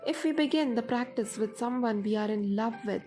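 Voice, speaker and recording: A woman narrating a guided meditation in a measured, instructive manner. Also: a little high. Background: music.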